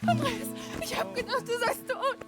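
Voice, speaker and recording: Casting: frightened, grateful girl, German accent, extremely high voice, game cutscene. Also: moderate pace, music in the background. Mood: overjoyed, grateful. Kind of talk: video game cutscene acting.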